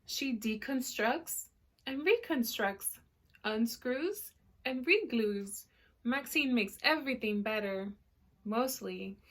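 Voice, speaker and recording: A woman reading a picture book aloud to children in an animated way, pausing to explain words and ask questions. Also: fairly high pitch. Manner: animated, checks in with listeners, explains words simply. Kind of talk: expressive read-aloud with explanatory asides for children.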